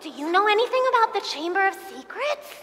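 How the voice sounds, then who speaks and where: Woman playing a high, bright, anxious schoolgirl. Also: sing-song, a little fast, exaggerated voice. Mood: anxious, eager. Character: anxious, eager young schoolgirl. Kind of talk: comedic staged musical reading. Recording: echoey hall.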